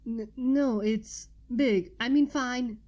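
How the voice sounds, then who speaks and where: A woman's voice: a little high, slow, mellow, pitched above the performer's own voice. A woman voicing a caring, easily embarrassed young female pony in a storybook reading, with a hesitant, stammering manner. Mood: flustered.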